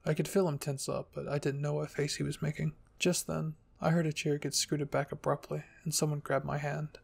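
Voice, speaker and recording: A man narrating a first-person story in a scripted ASMR reading style, with a husky, gravelly voice. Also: a little high, level tone, brisk. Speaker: fan fiction reader and narrator.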